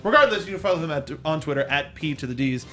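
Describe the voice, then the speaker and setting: Higher-voiced American man hosting a talk show. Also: expressive, fast talker, sharp tone. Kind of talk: unscripted film review chat.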